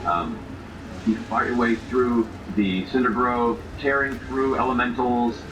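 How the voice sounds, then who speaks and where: A man narrating a tabletop game as game master, in a formal, florid storytelling way with pauses. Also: mid-range voice, fairly even intonation. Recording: roomy distant mic, clearly noisy background.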